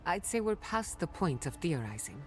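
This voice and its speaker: Imperial envoy: deep for a woman, measured and resolute, game dialogue. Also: very animated, soft background music. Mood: calm, firm. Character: calm, resolute female envoy of an empire. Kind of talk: video game cutscene dialogue.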